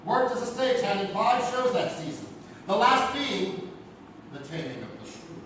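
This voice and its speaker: A man playing a storyteller who narrates ghostly mysteries in a theatrical, suspenseful live radio-theatre style. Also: sits high. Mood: intrigued, dramatic. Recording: echoey, noisy live theatre from far off.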